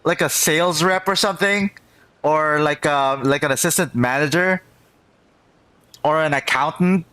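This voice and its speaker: A man's voice: fairly high pitch, moderate pace, pauses often, smooth. A man live-streaming a game playthrough with casual, exclamatory commentary, often repeating his words. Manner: animated, exclamatory, self-repeating. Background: game music.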